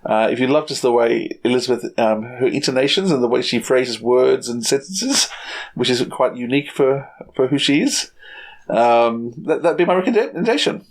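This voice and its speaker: A man's voice: mid-range voice, normal speed. Casting: male co-host, middle-aged, mid-range voice, informal chat. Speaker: podcast co-host. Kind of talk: casual podcast chat.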